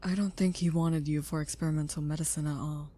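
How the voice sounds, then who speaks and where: A woman playing a caring, protective scientist in an audio roleplay, speaking gently and earnestly in a slightly husky voice. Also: sits low, fairly even intonation, fast. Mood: concerned. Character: caring female scientist, protective creator of a sentient robot.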